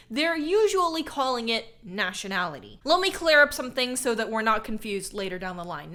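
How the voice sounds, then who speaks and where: A young woman with a bright, forceful voice sitting a little high for a woman. She narrates a scripted video essay, dropping sarcastic asides and swear words along the way. She was born in Korea and raised in Hawaii.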